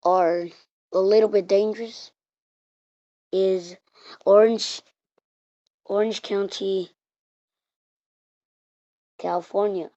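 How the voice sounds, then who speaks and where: A woman reading out an improvised mock emergency alert in a halting way, repeating and restarting her words. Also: mid-range voice, fairly even intonation, dark tone. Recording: muffled, roomy home recording.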